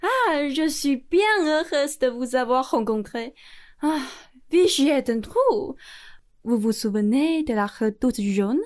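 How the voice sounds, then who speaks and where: A woman's voice: high voice, lively intonation, slow pace, a little mellow. A woman playing a rich, effusive aristocratic lady in a student radio play, speaking grandly with theatrical sighs. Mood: chatty, gracious.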